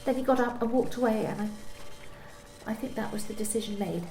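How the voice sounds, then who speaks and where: A woman's voice: fairly high pitch, fairly quick, darkish tone, roomy sound, faint music behind, close to an everyday voice, poor audio. A woman playing a timid pregnant office worker in an amateur radio play, talking in a hesitant, meek, apologetic way. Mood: subdued. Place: home during lockdown.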